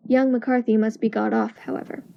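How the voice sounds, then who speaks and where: A woman's voice: on the high side, little pitch movement, normal speed, gravelly, a little hiss or hum. A woman playing a confident, precise, analytical detective in an amateur audio drama, explaining things in an assured way. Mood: measured, businesslike. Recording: dull lo-fi audio with music.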